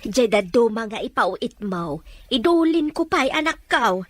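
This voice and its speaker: A caring, outspoken old mother, acted by a woman, speaking dramatic lines in a radio play. Her voice is fairly high for a woman. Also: sing-song, unhurried, no echo. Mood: affectionate.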